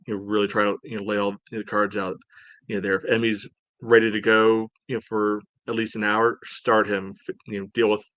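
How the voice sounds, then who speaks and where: A man co-hosting a sports podcast, giving casual analysis in a halting way full of verbal padding. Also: fairly low pitch, a bit bright, no echo. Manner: halting, peppered with "you know". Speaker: podcast co-host.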